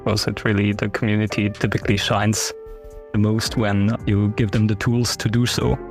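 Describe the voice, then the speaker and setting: A man's voice: fairly low pitch, flat delivery, normal speed, faint music behind. A man in his late thirties hosting a podcast interview, asking questions in a friendly, conversational way. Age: 30s. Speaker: podcast host.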